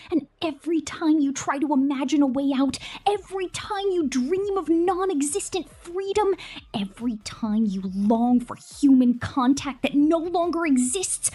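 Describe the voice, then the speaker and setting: A woman's voice: high voice, mellow, husky. A woman playing a possessive, contemptuous killer robot girl in an ASMR villain roleplay, theatrical and domineering, often dropping into a whisper.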